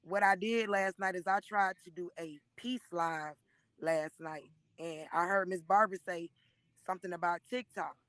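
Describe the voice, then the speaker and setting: A hoarse woman in her thirties gives an emotional, preachy testimony on a live stream, speaking into a phone and often calling listeners by name. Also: lowish voice, normal speed, fairly bright tone, dry recording. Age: 30s. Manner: earnest and preachy, repeatedly addressing listeners by name.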